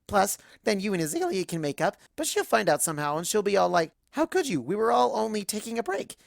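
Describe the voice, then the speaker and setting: A woman voicing an excitable young female cartoon pony, bubbly and breathless, rambling through a first-person story. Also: mid-range voice, fast, creaky, dry recording, studio quality, voice pushed up. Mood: giddy.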